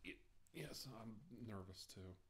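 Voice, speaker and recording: A man in his forties doing a soft ASMR role-play of a formal deposition, speaking haltingly and formally, with hesitant pauses. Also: sits low, expressive, slightly rough. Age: 40s. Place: small indoor room, seated at a desk.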